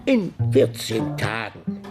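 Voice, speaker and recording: Whiny old priest for a radio play, slow and hesitant, voice swings all over. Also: male voice, mid-range voice, sing-song, loud music behind the voice. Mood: evasive, whining. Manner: hesitant, fretful, whining. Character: timid, evasive, grumbling old village priest. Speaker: radio drama actor. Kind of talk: German radio play acting.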